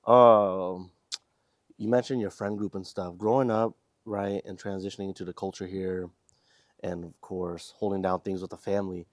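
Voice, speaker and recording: Deep-voiced laid-back podcast host man. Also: little pitch movement, moderate pace, dry recording, studio quality. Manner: laid-back, slangy, rambling questions with many fillers. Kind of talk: casual interview chat.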